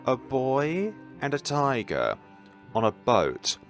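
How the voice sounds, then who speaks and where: A man voicing a terrified, painfully shy teenage schoolgirl in a visual novel, stuttering and halting over very few words. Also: mid-range voice, huge pitch swings, soft background music, full character voice. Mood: timid. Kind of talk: visual novel read-aloud.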